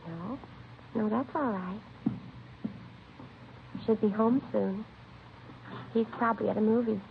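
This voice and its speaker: An actress playing a protective, trusting middle-aged aunt in a vintage radio drama, earnest and anxious. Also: female voice, fairly high pitch, slow pace, gravelly. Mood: doting, proud. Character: protective, trusting aunt of a wayward young man. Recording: lo-fi vintage radio recording, faint hiss.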